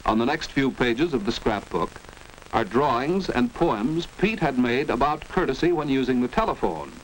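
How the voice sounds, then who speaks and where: A man's voice: mid-range voice, expressive, moderate pace. A man with an American accent narrating a 1940s instructional film in a precise, didactic manner. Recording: noisy 1940s educational film soundtrack.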